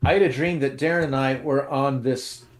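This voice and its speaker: A man in a casual chat, telling loose, self-deprecating stories about his day. He talks at an ordinary pitch, with false starts and restarts, and his voice carries a roomy echo. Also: moderate pace. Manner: rambling, self-deprecating storytelling with many restarts. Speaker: co-host.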